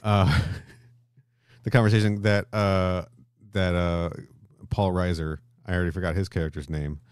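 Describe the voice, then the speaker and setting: A man's voice: sits low, little pitch movement, measured pace, smooth, no echo, clean studio sound. A man co-hosting a podcast in a casual, jokey, bantering conversational style. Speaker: podcast host. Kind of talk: casual film and comic discussion chat.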